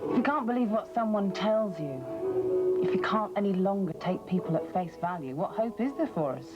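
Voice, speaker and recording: A woman's voice: medium pitch, expressive, moderate pace, close to an everyday voice. An actress with a British accent playing an idealistic female reporter who asks earnest, probing questions. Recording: dull TV audio under loud music.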